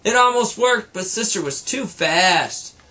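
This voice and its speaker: A man's voice: high-pitched, expressive, measured pace, pushed and bright. A man, a teacher, reading a children's storybook aloud to students with casual little asides.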